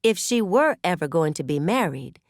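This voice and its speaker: A woman narrates literary fiction in an expressive storytelling style. Her voice sits slightly low and moves freely in pitch. The recording is very dry, like a studio audiobook. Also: normal speed, dry recording. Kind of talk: scripted novel narration.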